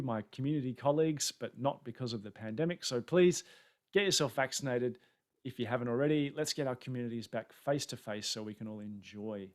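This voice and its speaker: A man's voice: medium pitch, darkish tone. Chatty Australian man presenting a webinar. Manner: chatty, self-deprecating. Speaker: conference presenter. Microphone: webcam setup on a video call.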